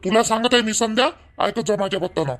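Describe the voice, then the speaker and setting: A man's voice: high-pitched, fast talker, a bit bright. A man playing an angry, controlling young village husband in a family cartoon, scolding and repeating himself in a Vidarbha Marathi accent. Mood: angry, commanding. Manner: scolding, domineering, repetitive. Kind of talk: family drama cartoon dialogue.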